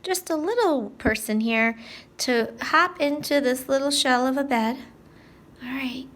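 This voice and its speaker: A woman's voice: high voice, unhurried, a bit bright. A woman teacher leading story time for young children, talking to them directly in a sing-song way with simple questions.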